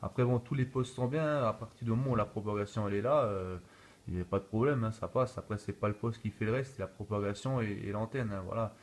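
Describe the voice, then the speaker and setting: A man's voice: lowish voice, fast talker. A man talking casually and easygoing as an amateur radio operator, recorded on a phone. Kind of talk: casual radio contact chat. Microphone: phone filming in video mode. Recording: noisy room recording on a phone.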